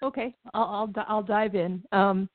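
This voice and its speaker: A woman's voice: medium pitch, fairly even intonation, moderate pace, no room sound. Chatty woman on a phone line. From Connecticut, New England. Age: middle-aged. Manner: chatty, laughs often, frequent restarts. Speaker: guest, former baseball team executive. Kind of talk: casual conversational interview. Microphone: phone call. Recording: tinny phone line, dry.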